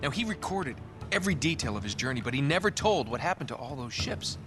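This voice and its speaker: An actor playing a quick-witted, wary treasure hunter in his thirties with an American accent and a sarcastic, casual delivery in a video game. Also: mid-range voice, sing-song, close to an everyday voice. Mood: absorbed, explaining. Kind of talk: cinematic video game acting. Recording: music under the dialogue. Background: background music.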